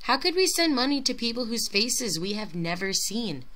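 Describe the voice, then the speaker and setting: A woman's voice: fairly high pitch, big pitch swings, normal speed, a bit bright. A woman voicing a kind, generous village mother in a children's storybook, reassuring and plain-spoken. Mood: doubtful. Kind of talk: children's storybook character reading.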